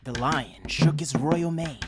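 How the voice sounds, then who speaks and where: A woman narrating scripted fables with deliberate, theatrical storytelling. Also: sits low. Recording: loud music behind.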